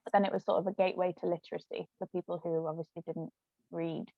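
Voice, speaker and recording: A woman's voice: fairly low pitch, flat delivery, fast, gravelly. A woman chatting in a rambling way as a guest in a casual discussion. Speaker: guest author and actress. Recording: muffled lo-fi phone-like audio, roomy echo.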